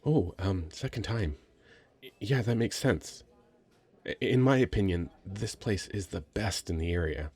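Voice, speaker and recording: Man acting a shy, low-voiced stammering nerd. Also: measured pace, breathy edge. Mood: shy, friendly. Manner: hesitant, stammering, soft-spoken, earnest. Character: very shy, sweet young nerdy male writer. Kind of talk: ASMR roleplay, addressing the listener.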